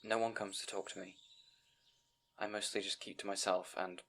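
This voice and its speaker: A man's voice: lowish voice, little pitch movement, normal speed, fairly bright tone. A man playing a timid, bookish young scribe in a fantasy audio roleplay, hesitant and apologetic, rambling and stammering. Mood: defensive, downcast. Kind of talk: audio roleplay acting addressed to the listener.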